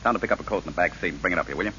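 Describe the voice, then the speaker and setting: An actor playing a breezy, wisecracking gangster with slangy, nonchalant delivery in a 1940s radio drama. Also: male voice, deep voice, rapid-fire, bright, forceful tone. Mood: cool, casual. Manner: slangy, nonchalant tough-guy talk. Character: breezy, wisecracking male gangster, loyal but unrepentant. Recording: hissy, dull old radio broadcast.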